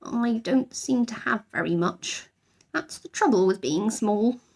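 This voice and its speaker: A woman's voice: on the high side, expressive, measured pace, creaky, breathy edge, full character voice. A woman voicing a small, polite young male bear in a children's storybook, earnest, modest and slightly hesitant.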